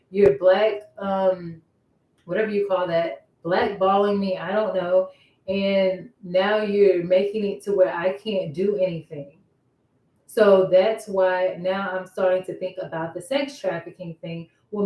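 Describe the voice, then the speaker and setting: A woman's voice: medium pitch, warm tone. A woman talking casually in a personal vlog monologue, circling back over her points and posing rhetorical questions. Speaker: vlogger. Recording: roomy echo, distant microphone.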